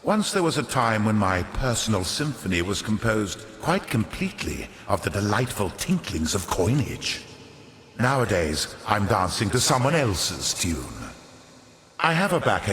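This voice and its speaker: A greedy, fallen older aristocrat who now works as a merchant in a video game. The voice is on the low side and swings theatrically in pitch, with pompous, unctuous flourishes. It rings with a long, echoey reverb. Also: male voice, very animated, moderate pace, a bit bright. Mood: confiding. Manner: florid, theatrical, unctuous salesman's patter.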